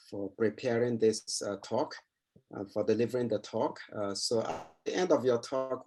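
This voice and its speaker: A man's voice: fairly low pitch, darkish tone. Guy hosting a zoom church meeting, lots of uh and restarts, pretty ordinary voice. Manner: halting, with constant "uh" fillers and repeats. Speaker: meeting host. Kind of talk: introducing a speaker.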